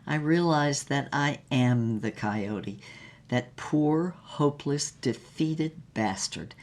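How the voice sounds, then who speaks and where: A woman playing a wistful, heartbroken former actress who narrates her lost love in an audio drama with dramatic, lingering melancholy. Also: extremely low pitch.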